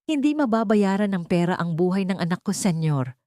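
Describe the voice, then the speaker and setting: A woman's voice: mid-range voice, very animated, fairly quick, warm tone, dry recording, clean studio sound, lightly acted. A Filipino woman voicing a dignified older mother in an audio story, anguished and reproachful with grief. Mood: firm, wounded. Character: grieving, dignified older mother, firm yet forgiving.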